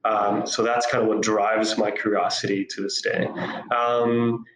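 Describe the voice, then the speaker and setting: A man's voice: on the low side, flat delivery, unhurried, roomy sound, background noise. A man in his thirties from Costa Rica, with a Costa Rican accent that carries a slight South African tinge, speaking as an interview guest. He tells his story in a reflective, hesitant way, with many fillers and restarts.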